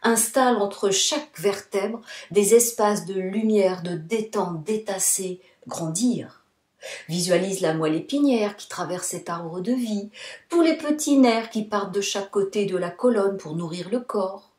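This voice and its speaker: Slow, roomy-voiced woman guiding relaxation. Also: medium pitch, a little mellow. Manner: soothing, deliberate, short phrases with pauses. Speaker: yoga nidra instructor. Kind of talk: guided relaxation meditation, spoken instructions. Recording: roomy, echoing sound.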